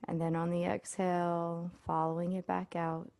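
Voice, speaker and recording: A woman leading a guided body scan meditation as an instructor, speaking in a soothing, unhurried way with pauses. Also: fairly low pitch, little pitch movement, vocal fry, darkish tone, no echo.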